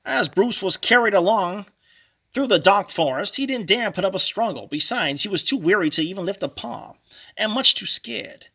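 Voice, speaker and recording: High, bright-voiced man reading a storybook. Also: moderate pace, husky. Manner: dramatic, expressive. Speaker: storybook narrator. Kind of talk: animated children's read-along storytelling.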